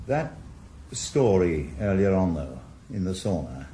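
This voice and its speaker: A man with a Welsh accent playing a bitter, sarcastic retired detective in a radio drama, blunt and gruff. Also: low voice, big pitch swings, unhurried, dark tone, a little hiss or hum. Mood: wary. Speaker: lead actor.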